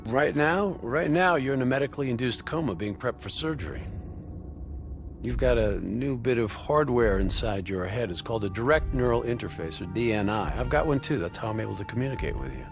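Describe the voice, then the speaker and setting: Game role: cocky military operative played by a male actor, mid-pitched, very animated and wry, briefing over music. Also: a little fast, natural voice. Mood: explanatory, firm. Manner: wry, profane, theatrical delivery. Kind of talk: game cutscene voice acting, expository briefing.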